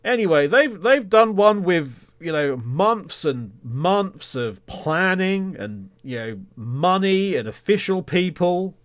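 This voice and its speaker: A man with a slightly high-pitched voice talks at a very unhurried pace. He is a podcast host chatting casually on his own, rambling along with self-deprecating, mock-indignant humour. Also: lively intonation. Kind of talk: casual solo podcast talk.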